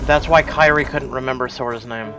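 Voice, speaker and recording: Mid-pitched man musing aloud over a game. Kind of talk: casual reaction commentary over game cutscenes.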